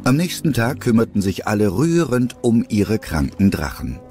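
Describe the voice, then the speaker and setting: A male narrator reads a scripted story for an audio drama. His voice is fairly deep and very expressive, with soft music underneath. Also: moderate pace.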